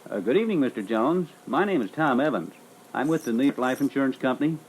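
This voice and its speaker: A man's voice: mid-range voice, lively intonation, fast talker, lightly acted. A man playing a polite, businesslike and persistent life insurance salesman in a scripted phone-call role-play. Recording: noisy old reel-to-reel training record.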